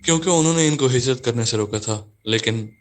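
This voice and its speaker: A man's voice: mid-range voice, fairly quick, lightly acted. A man playing a worried, respectful messenger. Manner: respectful, reporting formally. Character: worried male messenger reporting unrest.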